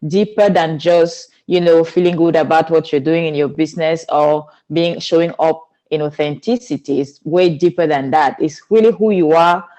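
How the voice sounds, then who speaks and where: A woman's voice: deep voice, steady tone, normal speed, few pauses, dark tone, rough recording. Cameroonian-accented woman, earnest and flowing. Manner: earnest, flowing, non-native phrasing. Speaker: guest, spiritual teacher. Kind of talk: podcast interview.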